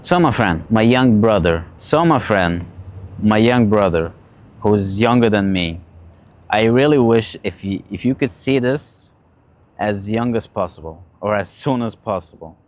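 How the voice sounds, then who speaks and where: Male, late teens, lowish pitch. Casual confessional vlogger, halting delivery with repeated phrases. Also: lively intonation, darkish tone. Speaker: vlogger sharing personal stories and advice. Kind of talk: casual confessional talk, storytelling.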